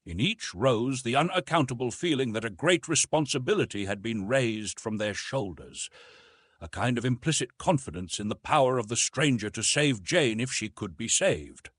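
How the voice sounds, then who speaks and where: Expressive male audiobook narrator, slightly husky. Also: medium pitch, moderate pace, no echo. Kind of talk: dramatic scripted narration.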